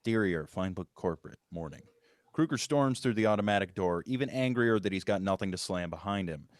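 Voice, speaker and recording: A man's voice: deep voice, lively intonation, fairly quick, no room sound, studio quality. A man narrating stage directions at a screenplay table read, in scripted narration.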